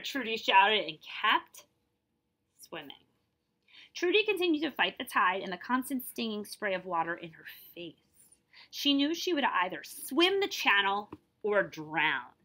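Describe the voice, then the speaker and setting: Animated, bright-voiced woman reading aloud. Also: fairly high pitch. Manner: expressive, explanatory, checking in with listeners. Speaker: librarian reading a picture book aloud. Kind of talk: animated storybook read-aloud with explanatory asides.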